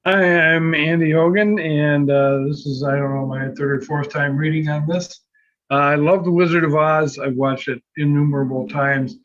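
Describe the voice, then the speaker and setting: A man's voice: a little high, fairly even intonation, normal speed, a bit bright. A man casually introducing himself as a performer over a lo-fi microphone. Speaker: table read performer.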